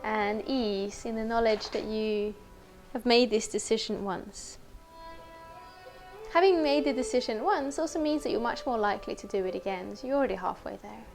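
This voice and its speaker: A woman teacher leading a guided meditation in a gentle, unhurried, conversational way. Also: fairly high pitch, gravelly, soft background music.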